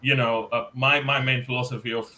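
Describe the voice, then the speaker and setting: A man's voice: mid-range voice, level tone, a little fast, sharp tone, a little hiss or hum. A man co-hosting a casual football podcast, speaking hesitantly with many fillers. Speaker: podcast co-host.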